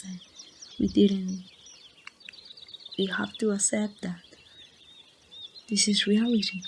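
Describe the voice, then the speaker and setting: Actress as grieving young woman in an audio roleplay: slow, halting, tender near-whispers, faint birdsong and ambience behind. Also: mid-range voice, a little hiss or hum. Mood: resigned, sad. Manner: soft, halting, breathy delivery slipping toward whispers. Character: grieving young woman saying goodbye to her lost loved one. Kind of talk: amateur ASMR roleplay monologue.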